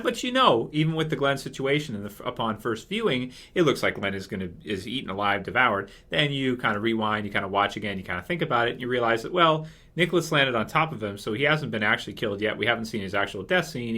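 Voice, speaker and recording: A man's voice: medium pitch, expressive, quick pace, sharp tone. A man hosting a casual fan commentary video, talking in a rambling way that circles back over his points. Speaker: video host.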